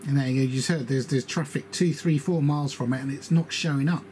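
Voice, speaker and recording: Male plane-spotting hobbyist, casual and opinionated, grumbling commentary, clear background noise. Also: medium pitch, fairly even intonation, quick pace, darkish tone. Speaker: aviation hobbyist narrating radio scanner and flight tracker. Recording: radio and ambient noise behind voice.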